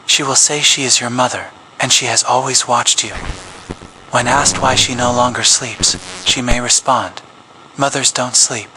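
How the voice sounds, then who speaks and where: A man reading a scripted public safety announcement as an emergency broadcast announcer, in a grave, measured official tone. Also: mid-range voice, pushed and bright, a little hiss or hum, rough recording. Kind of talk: scripted horror narration.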